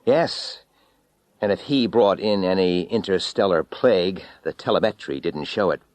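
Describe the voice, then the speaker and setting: A man's voice: low-pitched, normal speed, darkish tone, dry recording, close to an everyday voice. A man voicing a bitter, haunted former astronaut in an audiobook story, wry, weary and intense. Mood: dry. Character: bitter, haunted male former astronaut recounting his ordeal.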